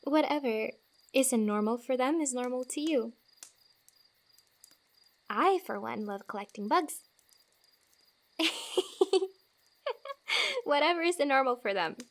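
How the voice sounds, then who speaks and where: A woman playing a friendly, teasing fantasy wanderer who gives comfort in a soft-spoken, giggly, rambling ASMR roleplay. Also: high-pitched, expressive, takes it slowly, pauses often, heavily acted. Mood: encouraging.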